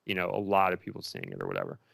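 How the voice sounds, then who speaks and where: A man's voice: low voice, very animated, rapid-fire, vocal fry, fairly bright tone, no echo, clean studio sound. A man answering interview questions as a filmmaker in a rambling, informal way full of filler phrases. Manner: rambling and informal, many likes and you knows.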